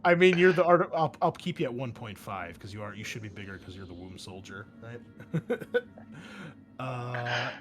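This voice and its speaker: A man's voice: mid-range voice, expressive, normal speed, music in the background. A man narrating a tabletop roleplaying game as game master, in a casual, conversational way. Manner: casual, conversational, mild swearing.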